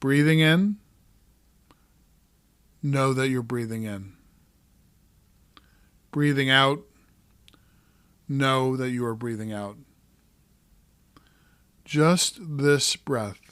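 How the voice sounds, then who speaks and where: A man narrating a guided meditation as a coach, giving calm, gentle instructions in short, measured phrases. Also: mid-range voice, clean tone, dry recording.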